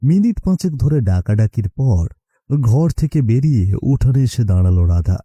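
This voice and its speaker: A man's voice: medium pitch, big pitch swings, normal speed, mellow, slightly rough, no echo. A man narrating a scripted horror story as a storyteller.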